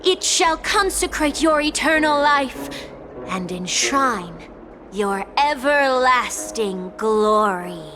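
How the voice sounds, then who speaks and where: Reverent ceremonial woman for a game: slightly high, unhurried, deliberate and grave. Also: expressive, music in the background. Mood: solemn, grand. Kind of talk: video game character acting.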